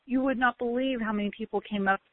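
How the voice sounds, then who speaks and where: A woman giving a motivational talk with a loud voice, stretching out key words for emphasis. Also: on the high side, steady tone. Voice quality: loud, bellowing voice. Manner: emphatic, drawn-out stresses. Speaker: motivational speaker. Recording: tinny phone-like line, dry.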